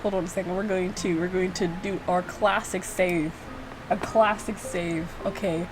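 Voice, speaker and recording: A woman's voice: fairly low pitch, steady tone, normal speed, over music. A woman giving casual, rambling playthrough commentary, giggly and poking fun at herself. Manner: self-mocking, giggly, rambling. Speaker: video creator reacting to own game.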